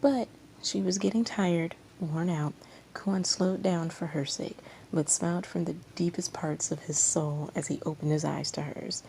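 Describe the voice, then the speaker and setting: A woman narrates a story expressively in a lowish, mellow voice. There is some creak in her tone, and a faint noise sits behind her amateur recording. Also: steady tone, measured pace, rough recording. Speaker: fan fiction reader.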